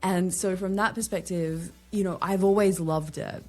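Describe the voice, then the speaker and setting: A woman's voice: lowish voice, fairly even intonation, moderate pace, warm tone, faint music behind. An Australian woman, a guest on a podcast interview, talking candidly and chattily with wry asides. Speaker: guest, LinkedIn strategist.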